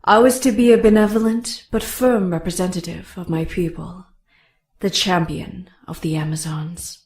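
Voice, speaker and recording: A woman's voice: fairly low pitch, steady tone, normal speed, darkish tone, slightly rough, no echo. A woman voicing a fierce, proud, hot-tempered young Amazon warrior in a motion comic, blunt and dramatic. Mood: solemn, bitter.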